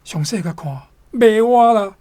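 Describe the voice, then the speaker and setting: A presenter reads and introduces literary passages for radio. The delivery is very slow and deliberate, broken carefully into short phrases. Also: medium pitch, expressive, dark tone. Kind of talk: measured literary reading and introduction.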